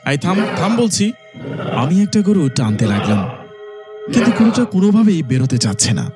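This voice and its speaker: Man voicing an earnest young son, very animated. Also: a little high, normal speed, music in the background. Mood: plain, storytelling. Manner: earnest, pleading. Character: anxious young village son narrating his sister's haunting. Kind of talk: horror cartoon dialogue and narration.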